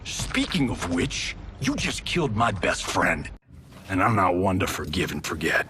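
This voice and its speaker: An actor playing a cocky, wisecracking action movie star in a brash, quippy game cutscene style. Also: male voice, low-pitched, very animated, a little slow, a little hiss or hum, heavily acted. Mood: cocky, defiant. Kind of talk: video game cutscene acting. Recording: loud cinematic music underneath.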